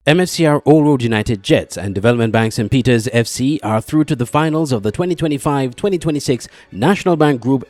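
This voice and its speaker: Guy reading sports news from a script, average pitch, quiet music bed. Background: faint music.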